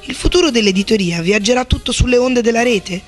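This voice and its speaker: A man reading a scripted news voice-over in a measured, questioning way. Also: very high voice, faint music behind. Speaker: reporter narrator.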